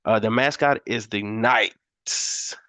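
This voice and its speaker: A man's voice: on the low side, takes it slowly, pushed and bright, no room sound. Casual man hosting a live stream. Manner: casual, addresses chat with quiz questions. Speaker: host.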